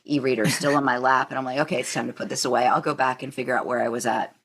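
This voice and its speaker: A woman's voice: very deep voice, steady tone, very fast, fairly bright tone, slightly rough. A woman hosting a podcast, chatting casually and thinking aloud in a rambling way. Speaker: podcast host. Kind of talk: casual interview chat.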